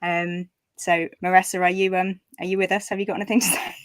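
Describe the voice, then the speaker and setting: A woman's voice: lowish voice, flat delivery, quick pace, no echo. A woman chatting casually about her writing as an author at a live online event, in a hesitant, giggly way. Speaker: author hosting online book launch. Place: live online video call.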